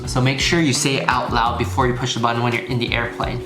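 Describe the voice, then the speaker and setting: A man's voice: medium pitch, little pitch movement, quick pace. A man giving a casual, informal tutorial as a video instructor, repeating key phrases for emphasis. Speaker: flying instructor, video host. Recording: roomy echo, music behind. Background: background music.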